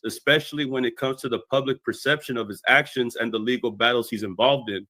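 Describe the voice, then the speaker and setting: A man's voice: mid-range voice, a bit bright, no room sound. A man delivering scripted explanatory commentary in a methodical, expository way as a video commentator.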